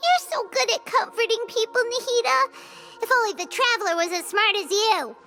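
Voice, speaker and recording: A woman voicing a small, cheeky, excitable fairy-like companion with a squeaky childlike voice in a video game. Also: normal speed, music in the background. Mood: pleased. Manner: refers to self by name, exclamatory.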